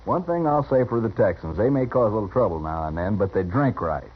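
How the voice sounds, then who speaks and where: Gruff frontier barkeep: American, contemptuous, husky low-leaning voice, muffled old radio drama sound. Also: male voice, animated, fast. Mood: scornful. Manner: gruff, scornful. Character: surly, contemptuous saloon bartender of the Old West. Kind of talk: 1950s radio western acting. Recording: muffled vintage radio recording.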